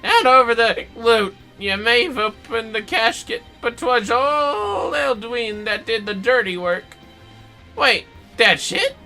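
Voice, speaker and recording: A man voicing a greedy, boastful old treasure hunter from a video game, with theatrical piratical bluster and drawn-out words. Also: extremely high pitch, animated, sharp tone, faint music behind, voice pushed up, exaggerated voice, poor audio. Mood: excited, grasping.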